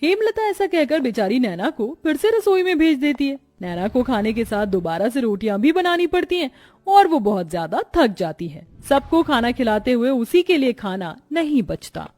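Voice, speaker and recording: A woman's voice: sits high, big pitch swings, moderate pace, darkish tone, no echo. A woman narrating a scripted cartoon story.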